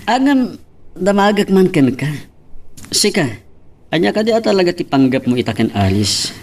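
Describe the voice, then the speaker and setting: A man's voice: sits high, very animated, moderate pace, a little mellow, recorded from a distance. A man with an Ilocano accent playing a young buddy and friendly love rival in an audio drama. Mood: teasing. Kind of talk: Ilocano radio-style love drama acting.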